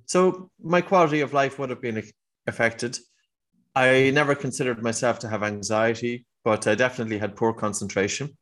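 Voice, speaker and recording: A man's voice: mid-range voice, normal speed, fairly bright tone. A middle-aged man with an Irish accent, a guest on a podcast, earnestly explaining his work with a few restarts. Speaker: guest, breathing teacher. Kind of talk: conversational interview answer.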